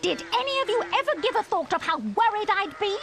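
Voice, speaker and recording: A woman's voice: very high voice, very animated, fairly quick, exaggerated voice. Scolding british mum witch from a video game, exclamatory, really up and down voice, music under it. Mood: scolding, exasperated. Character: fussy, scolding middle-aged mother witch, quick to soften.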